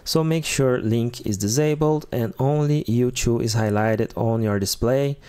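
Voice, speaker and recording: A man whose first language is Portuguese, hosting a keyboard tutorial and calmly explaining settings step by step. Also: mid-range voice, measured pace, clear, resonant tone. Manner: calm step-by-step explaining with fillers. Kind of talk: instructional walkthrough.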